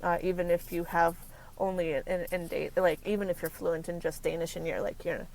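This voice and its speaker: A woman's voice: fairly low pitch, little pitch movement, fairly quick, vocal fry, darkish tone, slight background noise, poor audio. An American woman, a career consultant, talking casually as an interview guest, rambling with many fillers and restarts. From United States.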